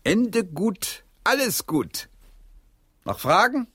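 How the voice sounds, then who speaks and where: Animated, theatrical playwright rousing his troupe. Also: high voice, drawn-out words, full character voice. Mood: eager, scheming. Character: William Shakespeare, eager playwright and restless troupe leader. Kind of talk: German radio play acting.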